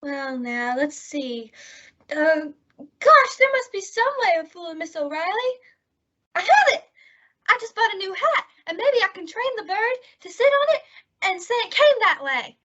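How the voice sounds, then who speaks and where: A woman's voice: extremely high pitch, sing-song, moderate pace, bright, forceful tone, exaggerated voice. A woman playing a sweet, scatterbrained, naive young roommate in old-time radio comedy, earnest and guileless. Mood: naively hopeful. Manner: guileless, earnest, dim-witted delivery. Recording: dull lo-fi radio-style recording.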